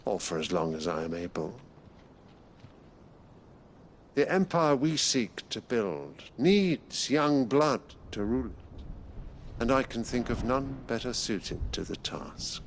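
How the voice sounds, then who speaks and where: A man's voice: medium pitch, sing-song, a little slow, a little hiss or hum. A man playing an imperious, zealous older emperor and father in a video game, speaking in grand, pompous oratory. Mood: measured, resolute. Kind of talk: dramatic video game cutscene acting.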